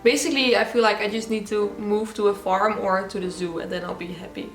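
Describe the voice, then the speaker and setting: A woman's voice: mid-range voice, fairly even intonation, brisk, echoey room, faint music behind, rough recording. A young woman from the Netherlands with a Dutch accent, chatting casually and giggling as she answers viewer questions. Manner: chatty, giggly, many "like" fillers. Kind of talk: casual Q&A vlog.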